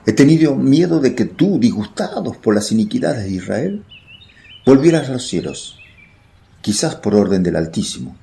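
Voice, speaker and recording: A man's voice: medium pitch, very animated, normal speed, creaky, warm tone. A man playing a fearful, awed male apostle in a religious radio drama, speaking earnestly and emotionally. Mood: awed, fearful confession.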